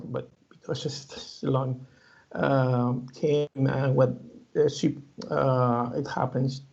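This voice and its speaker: Interview guest, male, Cuban Spanish accent, slow and halting, raised pitch, gravelly, dull lo-fi sound. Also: darkish tone. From Cuba. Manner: halting, many fillers and restarts. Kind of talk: hesitant conversational interview answers. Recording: dull lo-fi audio.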